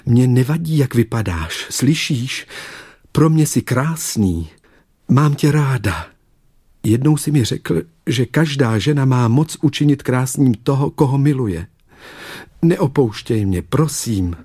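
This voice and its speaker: Muž předvádí oddanou, něžnou a úzkostnou mladou ženu z pohádky, mluví citově a naléhavě. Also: male voice, mid-range voice, lively intonation, mellow, breathy edge, no room sound, studio quality, pitched above the performer's own voice. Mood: desperate, pleading. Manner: emotional, pleading. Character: devoted young woman, tender, anxious, pleading fairy-tale heroine.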